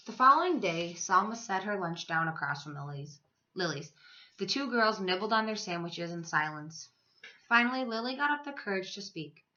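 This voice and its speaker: A woman reads a children's storybook aloud like a teacher to her pupils. Her voice is bright and very lively in pitch, with emphatic, stretched words. The recording is a little dull and lo-fi.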